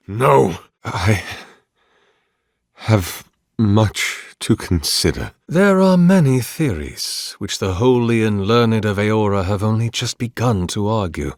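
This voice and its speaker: Fantasy game role: devout male zealot scholar, low and sweepingly expressive, formal, theatrical delivery. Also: dry recording, clean studio sound, exaggerated voice. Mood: shaken. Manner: formal, grandiose, deliberate diction. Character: devout, obsessive male scholar of soul magic, fervent zealot. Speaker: video game character.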